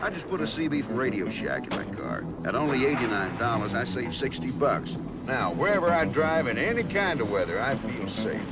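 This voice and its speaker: A man giving a testimonial-style sales pitch as a spokesman in a 1970s commercial. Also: very animated, brisk, few pauses, over loud music.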